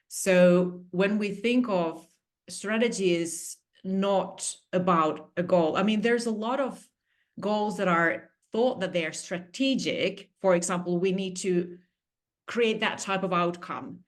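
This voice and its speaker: A woman with a Finnish accent explains her coaching work over a video call, her voice sitting on the low side. She talks in a measured, careful way, with phrasing that now and then shows English is not her first language. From Finland. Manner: measured, explanatory, slightly non-native phrasing. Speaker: executive coach guest. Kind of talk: interview answers.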